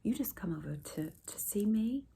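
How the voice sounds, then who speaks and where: A woman with a Southern American accent voicing a chatty, doting Southern lady in an ASMR roleplay, rambling folksy stories full of endearments in a soft, often whispered voice. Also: mid-range voice, animated, normal speed, vocal fry, slight background noise. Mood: soothing.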